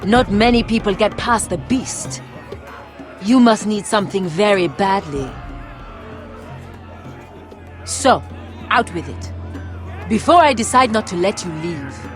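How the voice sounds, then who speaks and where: A woman voicing a menacing, bitter leader of pit fighters in a video game, deliberate and threatening. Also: medium pitch, animated, soft background music, some chatter behind, close to an everyday voice. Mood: cool menace. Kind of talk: video game character acting.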